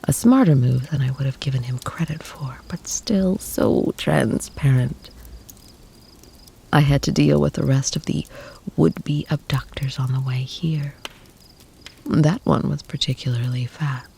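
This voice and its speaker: Woman doing a vampire lady for asmr, super slow n breathy, creaky edge, protective of her lover. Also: extremely low pitch, slight background noise, full character voice. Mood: reassuring, confiding. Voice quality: soft, breathy, often whispered. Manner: slow, soothing, doting, formal wording. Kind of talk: ASMR roleplay.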